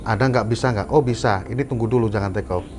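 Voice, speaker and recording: A man explains things in a casual conversation. He is agreeable, often confirming, and slips in English technical terms. Soft music sits behind him. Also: fairly low pitch, quick pace. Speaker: air traffic controller guest. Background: faint background music.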